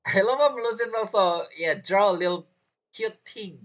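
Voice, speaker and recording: A game streamer with a husky voice giving casual let's-play commentary. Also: mid-range voice, normal speed, a bit bright.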